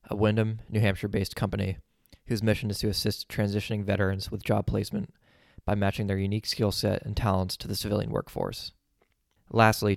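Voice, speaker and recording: A man hosting a podcast, reading a measured introduction and asking interview questions. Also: lowish voice, vocal fry, a little mellow, no echo, clean studio sound. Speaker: podcast host.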